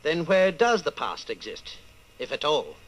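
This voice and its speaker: An actor playing a coldly patient, menacing party inquisitor in old-time radio style, measured, condescending and commanding. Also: male voice, a little high, very animated, fairly bright tone. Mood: controlled menace.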